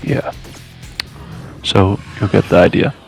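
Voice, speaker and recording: Low, creaky-voiced man narrating a game guide. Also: lively intonation, takes it slowly. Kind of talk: casual scripted walkthrough voice-over. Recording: music behind the voice. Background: game music.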